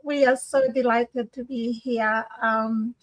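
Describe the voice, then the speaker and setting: A woman from answers interview questions over a video call. Her voice is quite high. She talks warmly and gratefully, with many small fillers. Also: steady tone, takes it slowly, rough recording. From Papua New Guinea. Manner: warm and grateful, with frequent fillers. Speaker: guest, business owner.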